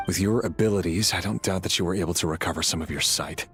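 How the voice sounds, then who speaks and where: Grim, low-voiced knight. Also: male voice, fairly bright tone. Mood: stern, guarded. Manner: measured, formal, dryly menacing. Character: grim, sardonic male knight turned palace conspirator. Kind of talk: video game voice acting. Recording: game dialogue with music behind.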